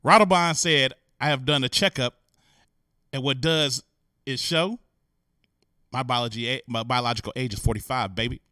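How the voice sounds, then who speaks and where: A man hosting a show, giving animated, incredulous, joking and slangy commentary on the news. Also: mid-range voice, moderate pace, long pauses, no echo, clean studio sound. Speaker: host. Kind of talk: comic commentary on news stories.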